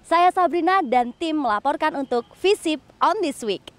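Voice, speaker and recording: High-pitched young woman reporter presenting. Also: lively intonation, moderate pace. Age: young adult. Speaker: field reporter. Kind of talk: upbeat scripted presenting to camera.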